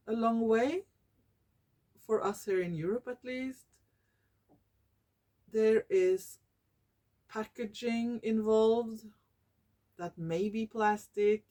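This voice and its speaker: A Norwegian woman vlogging in English, her second language, often pausing to search for words and correcting herself. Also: mid-range voice, warm tone. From Norway. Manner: slow and searching for English words, many fillers and self-corrections. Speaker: YouTuber. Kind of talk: casual talking-head vlog.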